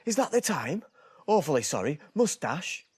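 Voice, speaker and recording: A weary, grumpy wolf from a children's storybook, voiced by a man. He mutters and groans in a put-upon way, with big swings of pitch. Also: big pitch swings, moderate pace, breathy edge, exaggerated voice. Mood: flustered.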